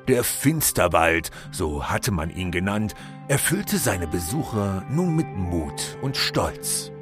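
A man narrating a scripted fairy-tale audio play as a solemn, expressive storyteller. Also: low-pitched, heavily acted.

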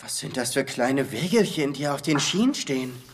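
A man's voice: mid-range voice, sing-song, normal speed, slight background noise, lightly acted. A man playing a naive, hungry young boy toy figure in a German children's audio drama. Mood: puzzled.

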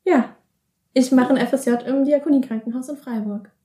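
A woman's voice: high voice, a little fast, dark tone. An eighteen-year-old German woman answering interview questions shyly, with short answers and some giggles. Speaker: volunteer, interview guest. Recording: roomy echo.

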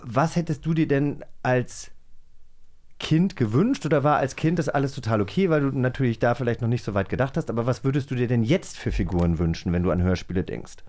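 A man's voice: mid-range voice, fairly quick, darkish tone. Candid male podcast host. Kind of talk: casual podcast conversation.

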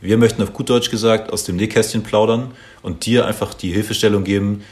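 A young man in his twenties from Hamburg co-hosting a podcast, relaxed and friendly, with fillers. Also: on the low side, a little fast. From Hamburg, Germany. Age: 20s. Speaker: podcast co-host. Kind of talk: casual podcast chat.

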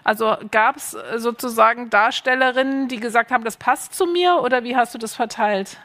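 A woman's voice: on the high side, a little fast, a bit bright. A woman moderating a festival panel, asking guests about their work. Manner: questioning, friendly, some fillers. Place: stage at a festival.

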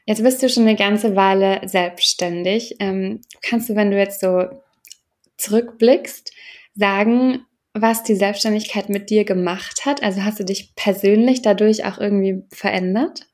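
A woman's voice: mid-range voice, steady tone, unhurried, darkish tone. A woman hosting an interview, asking questions in a friendly way with many filler sounds. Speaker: interviewer, podcast host. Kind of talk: conversational interview.

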